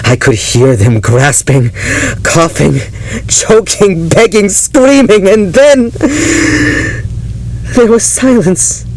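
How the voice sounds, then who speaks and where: Male actor as obsessive, unhinged man for audio drama: slow, brooding, halting, mid-pitched, faint rumble behind. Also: expressive, exaggerated voice. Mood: manic, intense. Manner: halting, brooding, breaking into unhinged laughter and intensity. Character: unhinged obsessive yandere ex-lover confessing to his therapist. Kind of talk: dramatic audio roleplay monologue.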